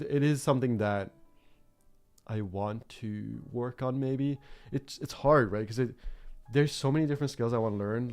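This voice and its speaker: A man's voice: mid-range voice, a little mellow. A young man from Norway chatting on a drawing livestream, rambling and reflective with many fillers and restarts. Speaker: art streamer. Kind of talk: casual chat while drawing on a livestream.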